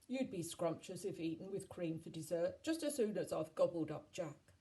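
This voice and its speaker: A greedy, grouchy giant voiced by a woman storyteller who chants and grumbles loudly about his dinner. The tone is round and mellow, with heavy emphasis on each booming word. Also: lively intonation, moderate pace, natural voice. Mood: grudging. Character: grumpy, greedy, child-eating male giant.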